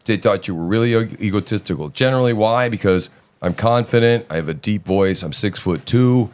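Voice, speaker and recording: Low-voiced, profane talk host. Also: male voice, fairly quick, darkish tone, smooth. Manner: profane, emphatic, keeps checking in with "right?". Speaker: host of a live audio show. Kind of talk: casual rambling talk.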